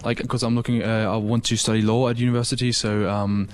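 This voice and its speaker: A man's voice: medium pitch, level tone, fast, clear, resonant tone. A young man from Germany, a student guest on live radio, speaking hesitantly with many ums and likes. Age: teens.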